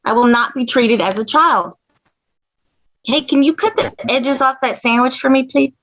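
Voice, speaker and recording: A woman's voice: high-pitched, moderate pace, fairly bright tone. A woman playing an assertive, indignant fourteen-year-old girl doctor at a table read, matter-of-fact and precise. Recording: dull, lo-fi audio.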